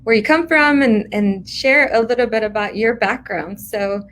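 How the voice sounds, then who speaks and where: A woman's voice: a little high, normal speed, fairly bright tone, a little hiss or hum. A woman hosting a casual live interview in a welcoming, encouraging way. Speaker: interview host.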